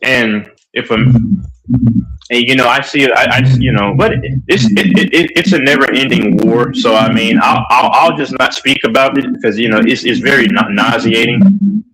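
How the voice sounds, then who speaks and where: A man on a livestream debate, talking in an animated, emphatic way with many restarts. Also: mid-range voice, moderate pace, crowd noise, low-quality recording. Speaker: streamer.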